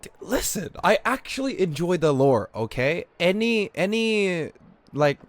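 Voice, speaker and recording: A man's voice: sits high, very animated, a little slow. A man giving casual live commentary as a game streamer, blunt and informal, with some swearing. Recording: faint game music behind.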